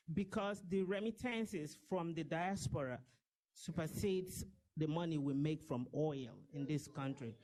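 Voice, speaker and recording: A woman's voice: on the low side, darkish tone, rough recording. A woman in her fifties gives a passionate, persuasive speech to a gathering as a diaspora campaign organizer. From Nigeria. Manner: impassioned, persuasive, pleading.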